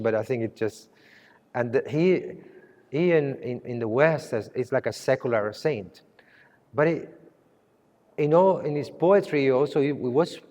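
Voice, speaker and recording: A man who grew up in Spain, speaking English as a second language, talks about his art in a halting way, often restarting and searching for words. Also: medium pitch, mellow, in a large hall. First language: Spanish. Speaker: artist. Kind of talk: gallery conversation.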